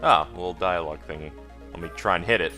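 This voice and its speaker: A man's voice: sits low, fast talker, sharp tone, soft background music. A man giving casual video game commentary as a let's play host.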